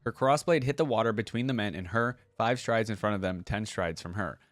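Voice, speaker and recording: A man's voice: on the low side, a little fast, dry recording, soft background music. A man reading game lore aloud and commenting on it as an enthusiastic, casual fan. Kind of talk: expressive story reading mixed with casual reaction commentary.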